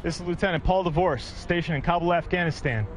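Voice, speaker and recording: A man's voice: on the high side, fast talker, heavy background noise. A man from Wisconsin who is a military officer, reciting a short formal greeting. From Milwaukee, Wisconsin. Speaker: soldier sending a greeting. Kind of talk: recorded shout-out message.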